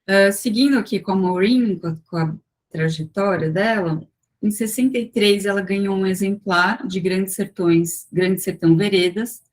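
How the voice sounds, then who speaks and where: A woman giving an academic talk over a video call, measured and full of small fillers and restarts as she walks through slides. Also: medium pitch. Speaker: guest lecturer.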